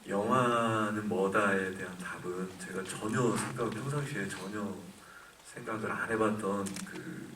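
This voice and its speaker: Measured man in an echoey cinema. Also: fairly low pitch, steady tone, recorded from a distance, background noise. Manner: measured, halting with many fillers. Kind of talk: live Q&A answer. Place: cinema auditorium.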